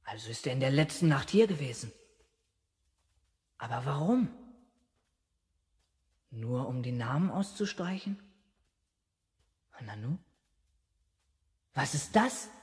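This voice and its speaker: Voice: mid-range voice, animated, slow pace, long pauses, a little mellow, slightly rough, heavily acted. A young performer playing an eager, self-important boy detective in a 1970s German children's radio play, earnestly reasoning aloud. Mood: secretive musing. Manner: earnest, deductive, musing aloud.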